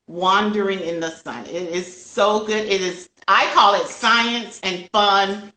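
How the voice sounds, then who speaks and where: Older female host, slow-paced, chatty and effusive, casual interview style, roomy echo. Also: medium pitch, pushed and bright. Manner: talkative, effusive with frequent interjections and ready laughter. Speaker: host of a children's book channel.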